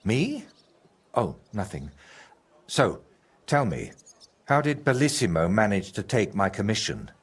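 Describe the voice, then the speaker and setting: An actor playing a troubled, scheming male painter in a video game, excitable and theatrical, with a husky voice. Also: lowish voice, a little slow, pauses often, full character voice. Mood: bitter, brooding. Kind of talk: video game character dialogue.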